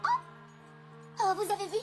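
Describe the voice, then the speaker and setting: A woman voicing a tiny, excitable female pixie in an animated cartoon, with theatrical, exclamatory delivery. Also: very high voice, normal speed, exaggerated voice. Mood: eager, proud. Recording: loud music under the dialogue.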